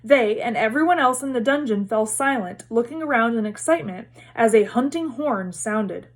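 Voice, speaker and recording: A woman reading a story aloud as narrator, in expressive storybook narration. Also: a little high, normal speed.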